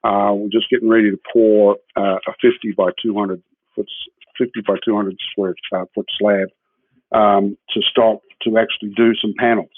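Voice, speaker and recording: Man, New Zealand accent, husky, lowish pitch, phone-band sound, informal explainer, conversational interview guest. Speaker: podcast guest, hemp builder. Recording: muffled, phone-like narrowband line.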